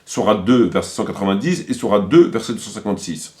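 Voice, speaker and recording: Low-voiced man lecturing emphatically. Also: expressive, fast talker, darkish tone. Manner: emphatic, rhetorical questions, repeats phrases for stress. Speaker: religious commentator. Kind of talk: polemical religious lecture, extemporaneous.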